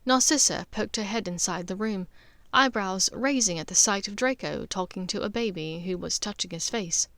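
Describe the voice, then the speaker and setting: A woman's voice: medium pitch, normal speed, few pauses, fairly bright tone, dry recording. A woman reading scripted fiction as an audiobook narrator. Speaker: author and narrator.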